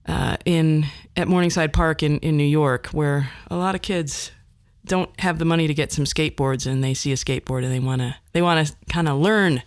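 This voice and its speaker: A woman's voice: low-pitched, clear, resonant tone, no echo, clean studio sound. A middle-aged American woman hosting a podcast in a casual, rambling, wry and self-deprecating way, with hesitations. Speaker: podcast host.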